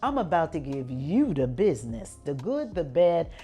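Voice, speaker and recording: A woman's voice: deep voice, big pitch swings, normal speed, mellow, soft background music. A woman hosting a show on female entrepreneurship, speaking in an upbeat, emphatic, motivational way. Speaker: podcast host. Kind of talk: hosted interview show.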